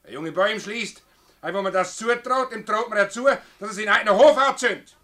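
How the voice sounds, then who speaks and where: A man's voice: sits high, fairly quick, pushed and bright. A man acting a hot-tempered, indignant village farmer with a Swiss German accent, blustering and cursing in a radio drama. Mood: bitter.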